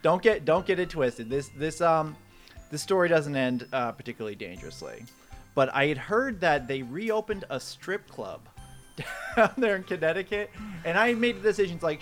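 A man's voice: a little high, very animated, normal speed, fairly bright tone, soft background music. A man co-hosting a comedy podcast, telling casual stories with joking, mock-announcer asides. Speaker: podcast co-host. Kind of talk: comedic casual storytelling and banter.

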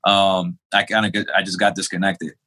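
A man's voice: deep voice, little pitch movement, normal speed, bright, forceful tone. A young adult man from Queens, New York, a pastor telling his testimony in a casual interview, talking conversationally with fillers and restarts. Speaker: pastor, podcast guest.

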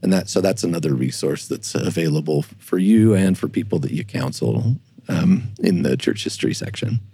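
A man speaking as an expert guest in a podcast discussion, explaining things in a halting way with repeats and rephrasing. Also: low voice, lively intonation, vocal fry, warm tone, slightly rough. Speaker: guest church historian.

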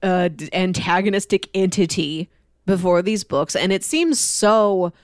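A woman's voice: on the low side, a little slow, dry recording, studio quality. A woman co-hosting a podcast, chatting casually and informally about books in a conversational way. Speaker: podcast co-host.

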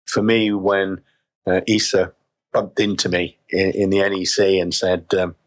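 A middle-aged man from South Wales with a faint, mostly neutral Welsh accent, speaking as a chief executive in a reflective interview, measured and full of restarts. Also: sits low, flat delivery. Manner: measured, with frequent restarts and fillers.

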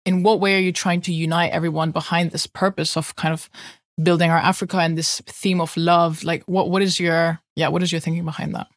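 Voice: mid-range voice, little pitch movement, brisk, no room sound. A podcast host asking loose, curious questions and affirming the guest's answers. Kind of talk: podcast interview.

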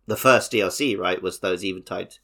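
A man's voice: sits low, a little fast, a bit bright. A man co-hosting a gaming podcast, explaining things in an informal, conversational way. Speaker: podcast co-host. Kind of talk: casual gaming podcast chat.

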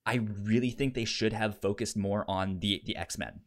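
A man co-hosting a podcast in casual discussion, speaking haltingly with stammers and repeated word starts. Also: fairly low pitch. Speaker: podcast co-host reviewing an audio drama.

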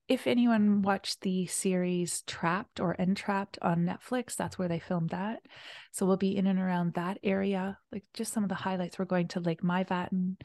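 Gravelly low-voiced woman, chatty. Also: dry recording. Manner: chatty, enthusiastic, trails into soft near-whispers. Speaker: retreat host. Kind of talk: casual conversation.

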